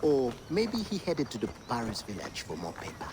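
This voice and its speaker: A man's voice: medium pitch, wildly swooping pitch, brisk, background noise. An actor playing a flamboyant, flirtatious man who teases with a sing-song, whispery manner in a game cutscene. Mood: playful. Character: flamboyant flirtatious male employer, teasing.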